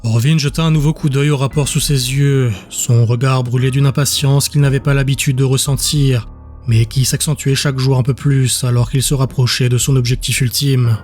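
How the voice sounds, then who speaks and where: A man narrating a dramatic audiobook in a measured, literary storytelling manner. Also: mid-range voice, fairly even intonation, a little mellow, soft background music.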